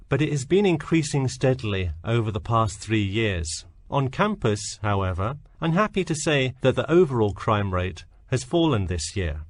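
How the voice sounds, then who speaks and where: A man playing an earnest campus safety officer, giving a measured, instructive scripted talk to students. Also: lowish voice. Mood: measured, informative.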